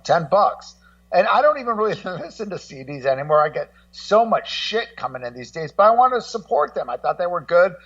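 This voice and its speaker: A middle-aged man with a fairly high, very animated voice talks casually about the business he knows. He rambles and circles back over his phrases with a salty tongue. The audio is somewhat muffled. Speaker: guest co-host and music documentary maker. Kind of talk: casual music-business discussion. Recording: muffled, distant-sounding audio.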